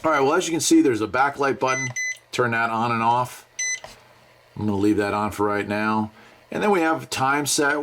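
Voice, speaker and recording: A man's voice: medium pitch, normal speed, background noise. An American man talking casually and conversationally, thinking aloud as he gives a hands-on gadget review.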